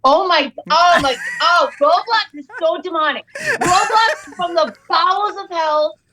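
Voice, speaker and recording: A middle-aged woman, a comedian guest, bantering on a podcast in an animated, jokey, exaggerated way. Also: very high voice, a little slow, background noise.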